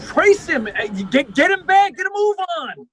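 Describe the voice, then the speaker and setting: An actor playing a sardonic doctor in vintage radio comedy, full of dry insults and prone to exasperated sputtering. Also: male voice, extremely high pitch, big pitch swings, moderate pace, a bit bright, slight background noise, heavily acted. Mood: exasperated, frantic.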